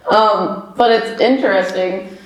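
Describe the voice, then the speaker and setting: A young woman talks casually as a medium, with a chatty, rambling delivery full of fillers. Her voice sits at an ordinary pitch. The sound echoes off the bare walls of a basement room. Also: slow pace. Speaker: medium, paranormal investigator. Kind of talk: casual chat on a ghost hunt. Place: old basement room with echo.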